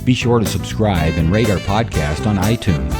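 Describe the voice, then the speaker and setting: A man's voice: low voice, lively intonation, normal speed. A man reading a scripted podcast outro as the announcer. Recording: loud guitar music under voice. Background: plucked guitar music.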